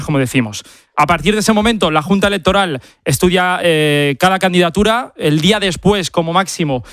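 A man's voice: high voice, fairly bright tone, dry recording, studio quality. A man reporting on a radio show, laying out dates and procedures step by step. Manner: methodical, lays out dates step by step.

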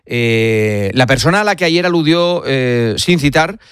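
A man hosting a late-night radio sports show, talking conversationally and pausing on fillers. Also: mid-range voice, lively intonation, a bit bright, clear, resonant tone, no echo, clean studio sound. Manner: conversational, hesitant fillers between points. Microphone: studio microphone.

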